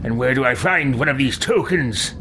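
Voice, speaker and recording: A man's voice: medium pitch, expressive, normal speed, pushed and bright, slight background noise. A man roleplaying a haughty, cruel fantasy adventurer in a video game, with a pompous, menacing delivery. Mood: imperious.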